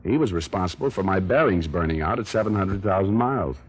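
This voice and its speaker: An actor playing a flippant American private detective in 1940s radio style, with glib, deadpan quips. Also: male voice, low voice, quick pace, breathy edge. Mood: flippant, teasing.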